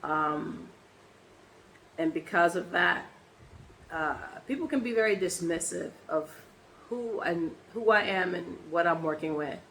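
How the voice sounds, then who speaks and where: A woman with a hoarse voice from laryngitis, chatting casually in a personal vlog and rambling with many ums and restarts. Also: on the low side, a little slow, a bit bright, slight background noise, low-quality recording. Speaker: vlogger.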